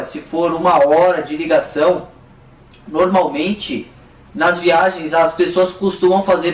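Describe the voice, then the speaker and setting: Higher-voiced Brazilian man in an echoey room. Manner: conversational, frequent tag questions. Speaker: motorcycle vlogger. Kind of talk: casual explainer to camera. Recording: echoey room, distant microphone.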